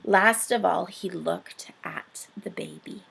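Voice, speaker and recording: Female children's story reader: expressive and dramatic, slow delivery, husky voice with some fry. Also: on the low side. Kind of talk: expressive read-aloud of a picture book.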